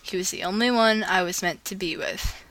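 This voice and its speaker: A woman with a very bright voice reads romantic fan fiction in a casual, homemade way. She is expressive and often trips over words and starts again. The sound is dry and close, without room echo. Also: medium pitch, normal speed. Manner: gushing asides.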